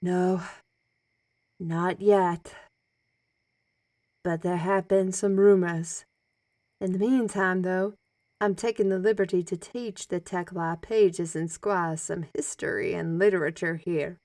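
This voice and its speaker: A woman playing a towering half-blood moose teacher, measured and gently instructive, in an audio drama. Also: lowish voice, fairly even intonation, no echo, studio quality. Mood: calm, kindly.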